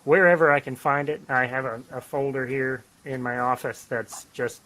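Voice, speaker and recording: Bright-voiced, rambling man chatting. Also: medium pitch, normal speed, rough recording. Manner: rambling, animated, self-deprecating, joking. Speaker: writer on a discussion podcast. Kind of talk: casual podcast chat about writing. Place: home office, on a remote call.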